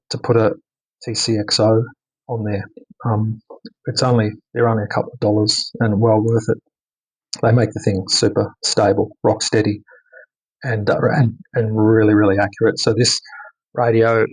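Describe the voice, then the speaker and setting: A man giving a casual, unscripted hands-on technical demonstration, speaking haltingly and often repeating words for emphasis. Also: lowish voice, slightly rough, poor audio. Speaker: electronics hobbyist presenting a radio mod.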